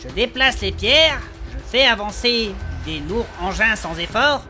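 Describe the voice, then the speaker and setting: A woman's voice: mid-range voice, expressive, measured pace, sharp tone, soft background music. A woman playing a hapless, earnest and affectionate male adventurer in a comic fantasy audio drama. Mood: solemn reading.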